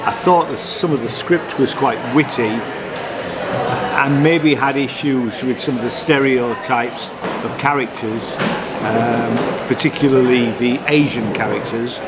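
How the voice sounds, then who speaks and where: A man hosting a vlog, giving a casual, halting and blunt review to camera. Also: mid-range voice, very noisy. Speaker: vlog host reviewing festival shows. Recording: loud crowd chatter behind. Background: busy crowd.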